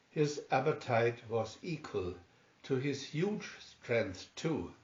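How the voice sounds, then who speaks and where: A man reads a children's storybook aloud at a slow, measured pace. His delivery is deliberate and theatrical, with a medium-pitched voice. The recording sounds roomy and a little muffled. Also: darkish tone. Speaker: storybook reader. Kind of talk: scripted children's story narration, read aloud. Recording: roomy, slightly dull home recording.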